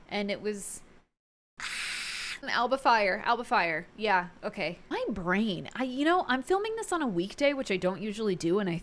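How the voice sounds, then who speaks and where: Expressive woman chatting in casual vlog style. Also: fairly high pitch. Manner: gushing, expressive, casual with swearing. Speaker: wrestling review vlogger. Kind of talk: casual opinionated recap to viewers.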